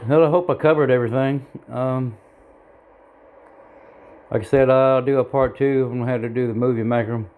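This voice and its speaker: A man giving an informal hands-on tutorial in a halting, plain-spoken, self-deprecating way, recorded indoors on a handheld device. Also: mid-range voice, steady tone, phone-quality sound. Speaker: hobbyist video tutorial presenter. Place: indoors at a home radio bench. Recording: clear background noise, room ambience.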